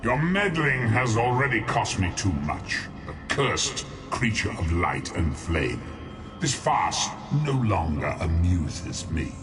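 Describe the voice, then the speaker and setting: A man's voice: on the low side, big pitch swings, slow pace, over music. A man playing a scheming demon lord in a fantasy game, pompous, mocking and menacing toward mortals. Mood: scornful. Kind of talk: scripted fantasy game villain dialogue.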